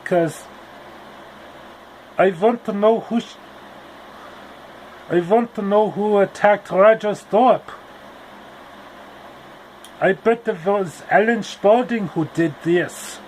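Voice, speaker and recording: Man playing a cranky TV-obsessed guy, high voice, slow and rambling. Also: darkish tone, slight background noise, low-quality recording. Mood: annoyed. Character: grumpy man glued to his TV soap.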